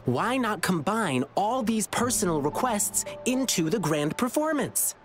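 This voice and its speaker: A man's voice: sits high, big pitch swings, unhurried, over music. An actor voicing a cheerful, eager young lion-eared boy performer in a video game, friendly and bubbly. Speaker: lion dance troupe performer.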